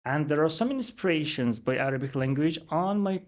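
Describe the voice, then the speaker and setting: A man from Iran talks about his homeland in English with a Persian accent. His voice sits a little high, and he explains things slowly and patiently, often saying "definitely" as a guest on a podcast. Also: animated. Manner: measured, explanatory, frequent "definitely" and "actually". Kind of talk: podcast interview, explaining culture.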